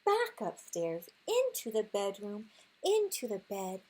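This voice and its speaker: A woman's voice: medium pitch, wildly swooping pitch, measured pace, darkish tone, husky. A woman reading a picture book aloud to young children, acting out sound words expressively and chatting to her listeners. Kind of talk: animated read-aloud with asides to young listeners.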